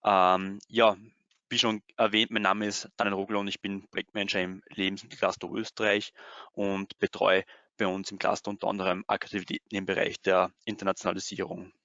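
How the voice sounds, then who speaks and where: A man delivers a formal, composed welcome to an online audience. His low voice stays very flat in tone. The audio is dry and a little muffled, like a computer microphone on a call. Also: fairly quick, no room sound. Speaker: project manager presenting.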